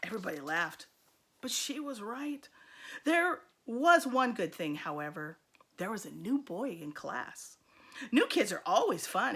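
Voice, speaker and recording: A woman teacher reading a children's storybook aloud, expressively and with deliberate dramatic emphasis. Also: fairly high pitch, a bit bright, breathy edge.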